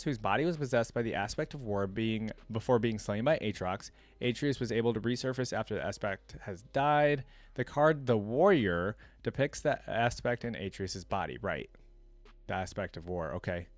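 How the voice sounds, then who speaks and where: A man's voice: mid-range voice, no room sound. Casual man hosting a reaction video, dry sound. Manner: informal, thinking out loud. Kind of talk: casual commentary, reading wiki text aloud with asides.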